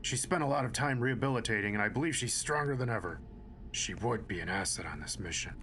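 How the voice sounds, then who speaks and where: Man as earnest ranger soldier, audio drama, middle pitch, measured, respectful, formal, faint noise. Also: expressive, fairly bright tone, lightly acted. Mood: earnest persuasion.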